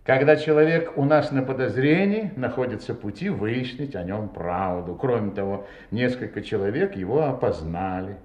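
A male actor plays a shrewd, self-assured police inspector in a radio play. His delivery is theatrical and emphatic, with a very mobile pitch. The recording is roomy and slightly dull. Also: animated, moderate pace, few pauses, darkish tone, natural voice. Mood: confident, explanatory. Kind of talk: Russian audio drama acting.

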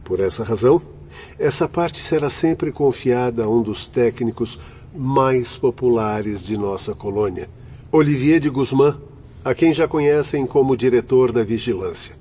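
A Brazilian man playing a calm spirit instructor in a radio drama, composed and didactic. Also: mid-range voice, unhurried, husky. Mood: calm, instructive. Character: calm male spirit instructor explaining methods. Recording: dull audio with background noise.

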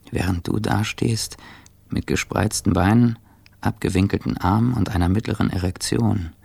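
An actor playing a bitter, seething injured ex-athlete in a radio drama monologue, gravelly and hushed, speaking with deliberate intensity. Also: male voice, deep voice, no echo, heavily acted. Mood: tense, hostile. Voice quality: gravelly, husky, breathy. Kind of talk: radio drama inner monologue in second person.

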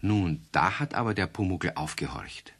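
A man narrating a children's radio play in a calm storytelling cadence. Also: low voice, normal speed.

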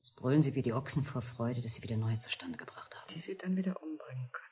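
A woman's voice: rumbling low, animated, fast talker, a little mellow, slight background noise. An actress playing a bitter enslaved woman who plots against her masters, in a declamatory, conspiratorial 1970s radio play style. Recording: 1970s studio radio recording.

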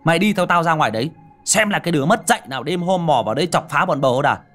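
A man playing a brash, rough-talking young villager. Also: fairly low pitch, lively intonation, soft background music. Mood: gruff. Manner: rough, mocking, quick.

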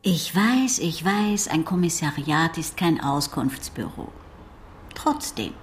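An actress playing a curious, impulsive oceanographer in a radio crime drama, musing and self-assured in conversation. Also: female voice, deep voice, sing-song, slow, soft background music, exaggerated voice. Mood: frank, musing.

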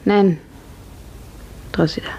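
An actress playing a grief-numbed, dreamy young woman in a radio drama, breathy and half-whispered, with a trance-like manner. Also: dark tone, a little hiss or hum, lightly acted. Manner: slow, trance-like. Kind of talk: 1950s radio drama acting.

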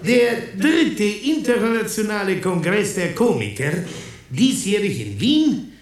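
A stiff, ceremonious chairman who speaks slowly with a lively, fairly high voice. The hall is echoey, with a crowd murmuring behind. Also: recorded from a distance, exaggerated voice. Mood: ceremonial. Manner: slow, formal, then puzzled. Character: pompous comedy congress chairman. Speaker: actor in a radio play. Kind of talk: 1980s German radio play acting.

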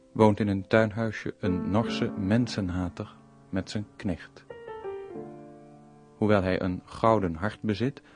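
A male narrator with a low voice tells the story of a radio play at an unhurried, measured pace. Piano music plays clearly behind him. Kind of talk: scripted radio drama narration.

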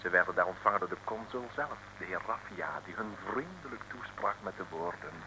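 An actor as the wry storyteller of a comic radio serial, giving scripted narration. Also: male voice, lowish voice, expressive, fairly quick, noisy background, close to an everyday voice.

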